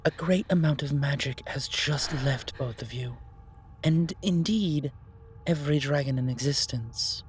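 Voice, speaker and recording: A man playing a calm, wise, otherworldly keeper of magic in a fantasy audio roleplay, speaking formally and ceremoniously. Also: on the high side, a little slow, a little hiss or hum, lightly acted. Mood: reassuring.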